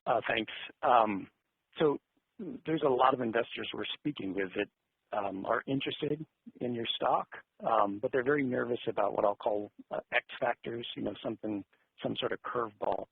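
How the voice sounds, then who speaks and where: A man's voice: mid-range voice, moderate pace, no echo. A man asking questions as a financial analyst on an earnings call, conversational and hedging, over a phone line. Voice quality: gravelly, husky. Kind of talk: spontaneous business questioning. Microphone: telephone. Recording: muffled, narrow phone line.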